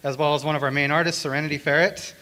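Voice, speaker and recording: A man speaking haltingly as a panelist, explaining things to a convention hall. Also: fairly high pitch, fairly even intonation, bright, forceful tone. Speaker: panelist and series co-writer. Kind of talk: live convention panel talk. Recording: faintly noisy hall recording.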